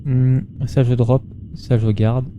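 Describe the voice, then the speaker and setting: A man's voice: medium pitch, flat delivery, slow, highs cut off, slight background noise. A man doing casual gameplay commentary in an informal, slangy way, muttering to himself and chatting to his viewers. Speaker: gaming streamer.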